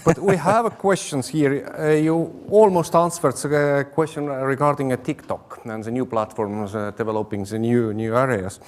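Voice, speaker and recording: A man's voice: medium pitch, fairly even intonation, moderate pace, hardly any pauses. A man moderating a conference Q&A, reading out audience questions with hesitant, slightly non-native phrasing.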